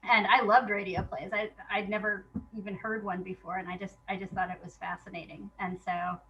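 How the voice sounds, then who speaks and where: A woman speaking as an interview guest, a playwright chatting casually about her work and telling it in an animated way, acting out remembered exchanges. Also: mid-range voice, brisk, muffled recording, slight background noise.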